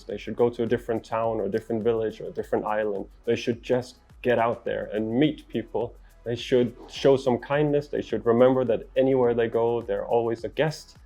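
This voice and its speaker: A middle-aged man from Denmark with a Danish accent, giving interview answers as a traveller in a measured, articulate, earnest way. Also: mid-range voice, darkish tone.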